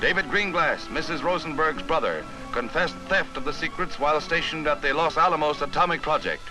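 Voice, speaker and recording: Male American newsreel narrator, early fifties style. Dramatic scripted delivery, fairly high voice, music underneath. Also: a little fast, rough recording. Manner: formal, emphatic, dramatic announcer delivery. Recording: vintage newsreel soundtrack with music.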